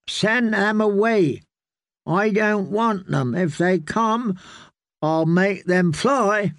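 A man's voice: high voice, a bit bright, no room sound, clean studio sound, voice pushed up. A man voicing a sulky, sickly little boy lord who whines and draws out his complaints. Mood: whining. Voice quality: whiny, drawn-out complaining.

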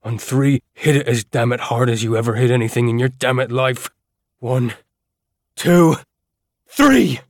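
A man voicing a gruff, bellowing male brawler who snarls out commands in an audiobook. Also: medium pitch, measured pace, long pauses, no echo, clean studio sound. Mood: urgent, commanding. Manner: snarling, barked orders. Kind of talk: audiobook character voice.

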